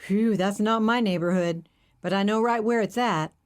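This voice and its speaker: A woman's voice: mid-range voice, normal speed, natural voice. A woman voicing a genial middle-aged male hippie truck driver who speaks in a folksy, easygoing drawl.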